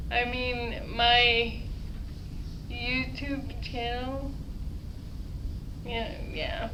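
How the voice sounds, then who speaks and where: A woman from Los Angeles giving a classroom presentation and answering questions, slow and hesitant with many pauses, speaking on a headset mic. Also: a little high, noisy background. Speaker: student presenter. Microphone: headset mic through a speaker.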